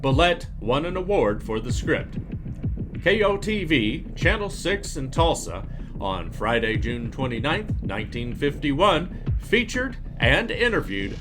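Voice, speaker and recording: A man reading a scripted documentary narration in a measured, factual storytelling manner. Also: mid-range voice, very animated. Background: music.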